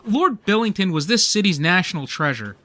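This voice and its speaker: Man acting earnest, fussy, bright-voiced guy. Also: high-pitched, very animated, fairly quick, no room sound, heavily acted. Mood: earnest, indignant. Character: earnest, fussy man obsessed with old holiday tapes. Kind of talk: comedic radio play acting.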